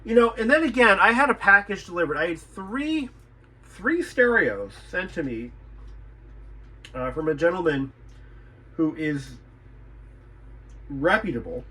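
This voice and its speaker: Higher-pitched man chatting through a repair vlog. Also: very animated, measured pace, pauses often, bright, forceful tone, slightly rough, low-quality recording. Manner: conversational, thinking aloud. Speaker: radio repairman and video host. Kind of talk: casual vlog chat while working.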